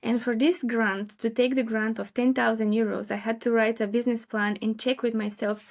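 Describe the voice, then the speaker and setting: A young woman, a freelance designer talking thoughtfully as an interview guest, with many fillers and pauses. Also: on the high side, flat delivery. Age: young adult. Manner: thoughtful, hesitant with fillers and light laughs. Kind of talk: casual interview chat.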